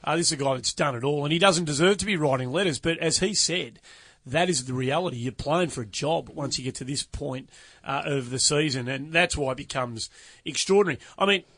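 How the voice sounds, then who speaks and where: An Australian man hosts a casual golf chat on a studio microphone, his voice sitting a little high for a man. He talks in an animated, emphatic way and rattles through the numbers. The sound is dry and close. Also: no room sound. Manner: animated, emphatic, runs through stats quickly. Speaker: podcast host.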